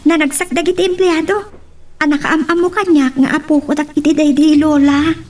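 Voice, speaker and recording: Young granddaughter role, woman performer: very high voice, Ilocano accent, dutiful, soft-hearted radio drama style. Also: steady tone, moderate pace, lightly acted. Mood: emotional.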